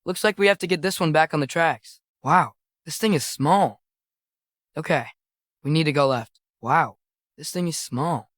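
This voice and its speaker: A man's voice: on the high side, normal speed, fairly bright tone, no room sound, lightly acted. A young male actor voicing an earnest, curious teenage boy adventurer in a video game, tentative but eager in a naturalistic style, recorded dry and close. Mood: helpful, unsure.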